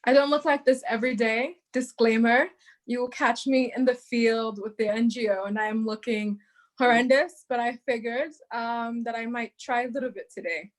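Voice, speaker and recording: A woman's voice: fairly high pitch, fairly even intonation, moderate pace. A young woman, answering interview questions with light self-deprecating humor. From Zambia. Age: young adult. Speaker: guest entrepreneur.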